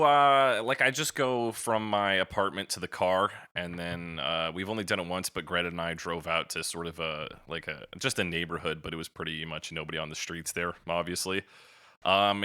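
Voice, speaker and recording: A man's voice: low voice, fairly even intonation, normal speed, bright, forceful tone, smooth, no room sound, clean studio sound. A man hosting a podcast in casual, conversational chat. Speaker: podcast host.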